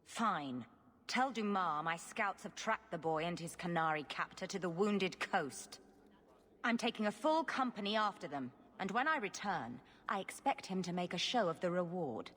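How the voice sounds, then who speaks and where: A brash mercenary captain who barks demands. Her delivery is blunt and lively in pitch, with a long reverberant tail. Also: moderate pace. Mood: impatient. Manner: blunt, impatient, commanding.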